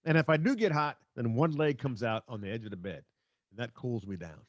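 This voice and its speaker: A man's voice: medium pitch, animated, a little fast, no echo, dull audio. A middle-aged man hosting a sports podcast in a chatty, wry, conversational way. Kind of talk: casual conversational banter.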